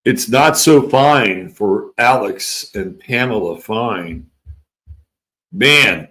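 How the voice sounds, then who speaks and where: A man's voice: medium pitch, fairly bright tone. A man with a mixed California and East Coast accent hosting a casual livestream, chatting loosely with viewers and reading their comments. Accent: California and East Coast mix. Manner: loose and rambling, trails off, restarts, swears casually. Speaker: livestream host.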